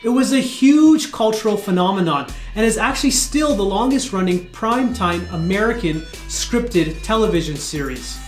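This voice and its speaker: A man's voice: sits high, expressive, moderate pace, hardly any pauses. A man in his thirties delivering scripted commentary as a video channel host. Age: 30s. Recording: roomy echo with music behind. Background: background music.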